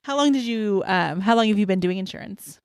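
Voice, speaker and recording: A woman's voice: mid-range voice, lively intonation, clean tone, no room sound, studio quality. A woman co-hosting a chat show, asking questions and laughing often. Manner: giggly, quick to laugh. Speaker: podcast co-host. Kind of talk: casual chat. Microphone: studio mic.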